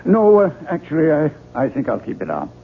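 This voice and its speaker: An affable, mannerly publisher in an old radio drama. His voice is on the high side with a creaky edge, and he speaks a little tentatively. The recording is dull and noisy. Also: wildly swooping pitch, fairly quick, heavily acted. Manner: courteous, slightly hesitant. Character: polite, affable male book publisher paying a visit.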